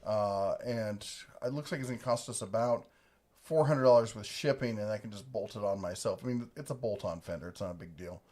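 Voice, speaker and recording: A man's voice: lowish voice, fairly quick, darkish tone. A man casually chatting on a livestream, reading viewer comments in a laid-back, rambling, jokey way. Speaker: livestream co-host.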